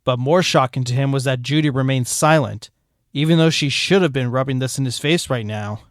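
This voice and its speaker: A man's voice: mid-range voice, a little fast, no room sound. A man narrating a fanfiction story aloud with a dry, wry delivery.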